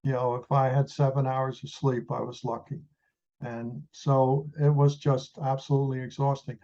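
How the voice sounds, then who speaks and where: Dark-voiced man in his eighties from the Netherlands. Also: mid-range voice, little pitch movement, moderate pace, lo-fi sound. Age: 80s. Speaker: interview guest, retired engineer. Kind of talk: reminiscing in an interview.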